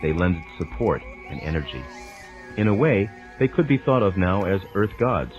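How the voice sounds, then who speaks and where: A man delivering a dramatized scripted narration of a spiritual text in a measured, formal, lecturing manner. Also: deep voice, expressive, a little mellow. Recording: dull lo-fi sound with music underneath. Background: background music.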